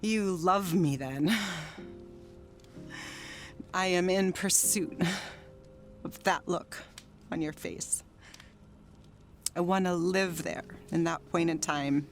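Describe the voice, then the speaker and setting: An actress playing an emotionally volatile, lonely, newly sober wife in a theatrical audio drama monologue. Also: female voice, lowish voice, takes it slowly, pauses often, faint music behind. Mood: wistful, confiding. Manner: theatrical, swinging between outbursts and confiding murmurs.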